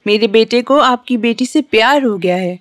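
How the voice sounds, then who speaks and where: A woman's voice: fairly high pitch, no room sound, clean studio sound, natural voice. An actress playing a humble, worried mother in a children's fairy-tale cartoon. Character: humble, worried mother of a poor young man.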